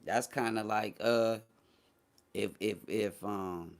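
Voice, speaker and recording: Casting: American male livestream host, lowish voice, casual chat delivery, blunt and dismissive. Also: fairly even intonation, takes it slowly. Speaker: host of a morning talk show.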